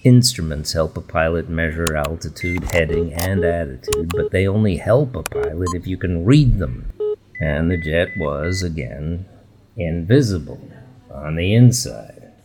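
Male weary research manager, low voice, dry interview delivery, faint background noise. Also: a little slow. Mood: deadpan, weary. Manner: deadpan, dry, unimpressed. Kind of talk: documentary interview in audio fiction.

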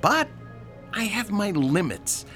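A man's voice: high voice, big pitch swings, takes it slowly, soft background music, exaggerated voice. An actor playing a pushy, sarcastic older landlord in a radio drama, lecturing his tenant about rent. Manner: sarcastic, lecturing, theatrical swings from shouting to hushed.

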